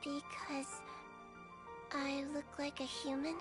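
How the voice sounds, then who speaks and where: A woman voicing a small, gentle, youthful nonhuman girl doctor in a video game, with an earnest, measured manner. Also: high voice, completely flat delivery, over music. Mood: startled, unsure.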